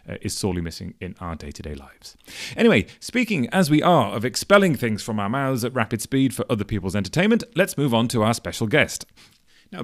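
Podcast host man with dry wit. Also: mid-range voice. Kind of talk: scripted intro and interview questions.

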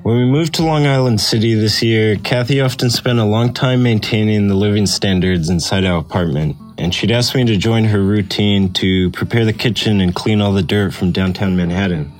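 A man with a low voice reads a personal essay as scripted narration. Faint guitar music plays behind him. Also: moderate pace, few pauses. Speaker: essay reader.

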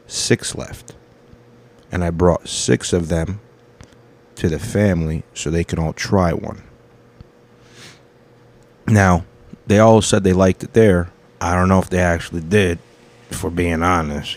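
A man's voice: sits low, darkish tone. A man in his thirties, a comedian hosting his own podcast, rambling through his week in a casual, profane, self-correcting monologue.

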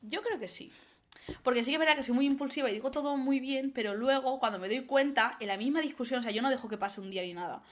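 A young woman with an accent from Spain chats casually as a podcast co-host, animated, outspoken and full of slang. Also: sits high, quick pace. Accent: Spanish (Spain). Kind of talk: casual chat.

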